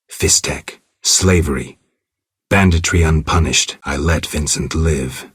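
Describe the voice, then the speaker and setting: Super deep gravelly monster hunter for a video game, stoic and tired of it all, deadpan, really dry close sound. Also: male voice, no room sound, exaggerated voice. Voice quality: gravelly, husky. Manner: terse, deadpan, clipped phrases. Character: stoic, world-weary male monster hunter, brooding and cynical. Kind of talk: video game monologue, reflective narration.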